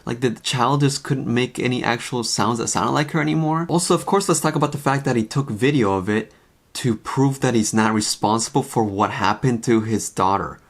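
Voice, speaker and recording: A man's voice: mid-range voice, normal speed. Young man giving incredulous, emphatic commentary. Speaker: commentary video host. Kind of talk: casual opinion commentary.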